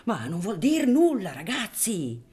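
A man's voice: high voice, wildly swooping pitch, measured pace, a little mellow, breathy edge, pitched above the performer's own voice, heavily acted. A reader voicing an anxious, agitated poor father who gambles on the lottery. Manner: agitated, raised voice.

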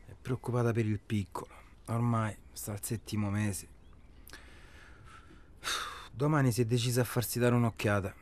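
A man's voice: medium pitch, fairly even intonation, moderate pace, pauses often, a little mellow, lightly acted. An actor playing a practical, touchy family man, speaking in a laconic, guarded, matter-of-fact way. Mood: guarded, serious. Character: terse, practical, touchy family man, discreet hired accomplice. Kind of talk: naturalistic radio drama dialogue.

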